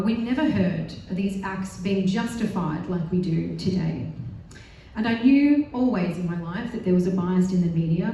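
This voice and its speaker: A woman's voice: mid-range voice, warm tone, recorded from a distance, a little hiss or hum. Earnest Australian woman speaking in echoey hall. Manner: earnest, determined, self-deprecating asides. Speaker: panel speaker. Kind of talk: prepared speech at a live event. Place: large synagogue hall.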